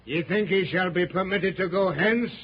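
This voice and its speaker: A man's voice: high voice, normal speed, slight background noise, close to an everyday voice. An actor playing the sinister, haughty king of a hidden city in a 1930s radio serial, with imperious, archaic speech. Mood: cold, imperious. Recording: muffled old 1930s radio recording.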